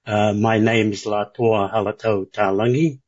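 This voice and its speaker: A man from Niue with a lowish, husky voice who speaks slowly, pausing often as he introduces himself. The audio is dull and lo-fi. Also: steady tone, darkish tone. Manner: slow, hesitant, with many fillers. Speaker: board candidate.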